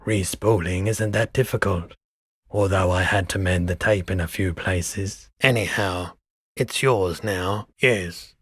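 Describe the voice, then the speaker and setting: A world-weary pawnshop owner from a video game, male and very low in pitch. He takes his time over each phrase, speaking slowly and softly with a wistful, laid-back air. The voice is husky and sits close and dry. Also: no room sound. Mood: laid-back, obliging. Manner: unhurried, low-key, deliberate. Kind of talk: video game character dialogue.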